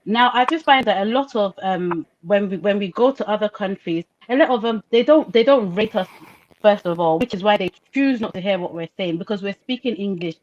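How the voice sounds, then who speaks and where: Nigerian woman on a panel, mid pitch, emphatic and passionate, kinda dull muffled audio. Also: brisk, slight background noise. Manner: passionate, emphatic, rhetorical questions. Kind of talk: casual discussion. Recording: dull lo-fi sound.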